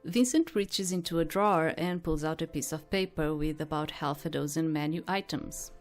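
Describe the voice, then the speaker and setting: A woman with reading a visual novel heroine's narration in a plain, measured way. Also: sits low, soft background music, close to an everyday voice. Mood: neutral narration.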